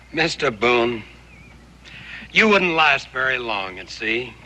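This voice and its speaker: A man's voice: medium pitch, big pitch swings, slow, sharp tone, full character voice. An actor playing a belligerent, hard-bitten seafaring father in a TV western, with a blunt, goading manner. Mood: scornful. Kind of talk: TV western acting.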